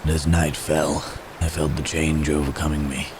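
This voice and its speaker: A man voicing a gentle, remorseful wounded werewolf in an intimate ASMR roleplay, speaking haltingly and formally, as if in pain. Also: rumbling low, flat delivery, background noise. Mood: haunted, remorseful. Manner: halting, hesitant, soft-mannered storytelling with formal wording. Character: wounded male werewolf, gentle, remorseful, recounting his escape.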